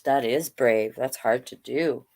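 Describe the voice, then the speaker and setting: Slow-paced woman reading a children's story aloud. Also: rumbling low, a little mellow. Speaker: children's storytime reader and host. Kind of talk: reading a picture book aloud with chatty asides.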